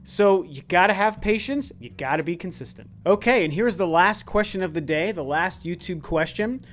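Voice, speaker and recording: A man's voice: high-pitched, lively intonation, moderate pace, a bit bright. A man around forty hosting a casual question-and-answer chat, talking earnestly with a tired, worn voice. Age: about 40. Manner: earnest, conversational, with frequent restarts. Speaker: YouTube channel host.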